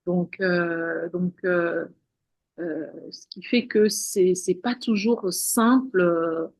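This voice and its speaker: A woman's voice: fairly low pitch, steady tone, slow, a little mellow, dry recording. Earnest woman with repetitive phrasing. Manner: earnest, repeats words and restarts often. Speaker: guest, women's wellness practitioner. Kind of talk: spiritual interview talk.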